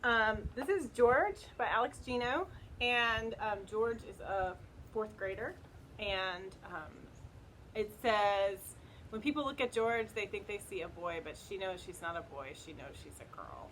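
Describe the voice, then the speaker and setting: A woman's voice: medium pitch, expressive, unhurried, a bit bright, low-quality recording. Woman chatting informally through wind noise. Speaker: co-host recommending books. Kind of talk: casual book recommendations. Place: outdoors in wind.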